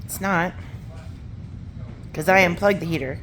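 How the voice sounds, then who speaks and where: A woman's voice: fairly low pitch, measured pace, a bit bright, slight background noise. A woman chatting casually in a matter-of-fact, directive way, prompting someone with questions. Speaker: off-camera helper asking questions.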